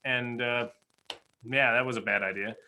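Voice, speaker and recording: A woman's voice: a bass voice, steady tone, moderate pace, bright, forceful tone, slight background noise. A woman co-hosting a casual podcast, chatting and reminiscing with friends. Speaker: podcast co-host.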